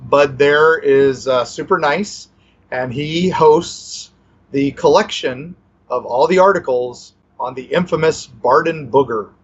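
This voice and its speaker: A man's voice: a little high, takes it slowly, husky, muffled recording. A man co-hosting a podcast, telling a local legend in a loose, rambling way with many fillers and restarts. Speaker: podcast co-host. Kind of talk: casual storytelling chat.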